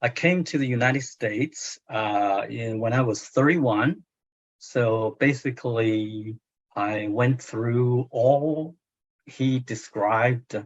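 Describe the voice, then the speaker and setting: An older man in his sixties with a Chinese accent speaks slowly, pausing often to find his words. His voice is a little husky, and the audio is muffled and lo-fi, like a video call. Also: lowish voice. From China. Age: 60s. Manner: hesitant, many fillers and restarts. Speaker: translator. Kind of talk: book club discussion.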